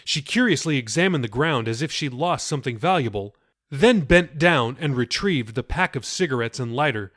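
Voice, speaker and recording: A man narrating his own novel in a measured, expressive storytelling voice. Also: mid-range voice, dry recording, studio quality. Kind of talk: audiobook narration.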